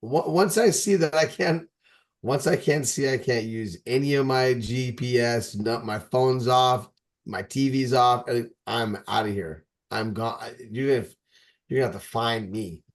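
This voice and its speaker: A man in his forties, mid-pitched, chatting on a podcast in a rambling, emphatic way. He keeps cutting himself off and starting over, pressing hard on each point. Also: a little slow. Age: 40s. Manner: rambling, emphatic, with frequent restarts. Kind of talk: casual podcast chat.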